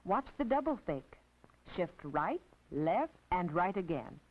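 A woman's voice: on the low side, sing-song. Tinny 1950s film narration, American woman. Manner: precise, didactic, measured phrasing. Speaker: narrator and physical education instructor. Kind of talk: scripted instructional film narration. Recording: tinny, band-limited 1950s film soundtrack.

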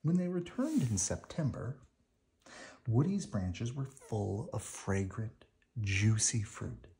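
A man narrating a children's picture book in an expressive read-aloud style. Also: lowish voice, slow.

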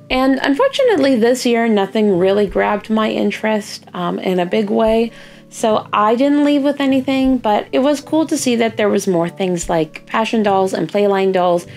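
A young Canadian woman with a hoarse, gravelly voice, chatting casually in a vlog voice-over about her weekend. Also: fairly high pitch, a little mellow. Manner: chatty, upbeat recounting. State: hoarse, lost voice. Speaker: doll artist vlogger.

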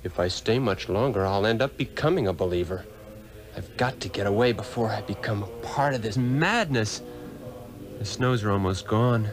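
An actor playing a skeptical, earnest doctor in a radio drama, speaking dramatically in short phrases. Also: male voice, lowish voice, moderate pace, over music, lightly acted. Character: skeptical, earnest Western male doctor turned seeker. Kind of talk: 1960s-era radio drama acting.

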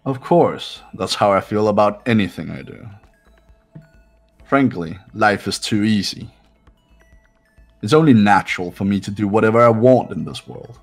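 A man acts out a haughty young male heir from a video game. His voice is low, and he talks down to everyone with measured, pompous superiority. Music plays clearly behind him. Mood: smug, bored. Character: arrogant, condescending wealthy young male heir. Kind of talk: visual novel game voice-over.

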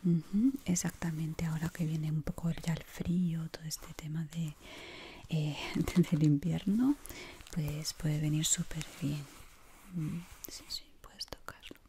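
A woman with an accent from Spain whispers gently and soothingly in a breathy voice, playing an ASMR shop assistant up close to the mic. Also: low-pitched, steady tone, a little slow. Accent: Spanish from Spain. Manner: soft, gentle, soothing, many diminutives. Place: small indoor room. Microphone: close microphone. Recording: close mic with clear background noise.

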